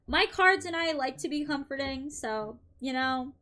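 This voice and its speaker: A woman's voice: high-pitched, animated, measured pace, bright, forceful tone. A young woman streaming, chatting casually and upbeat with her viewers between tarot readings, her throat a little dry. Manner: chatty and upbeat, frequent "um" and light laughs. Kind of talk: casual live-stream chat.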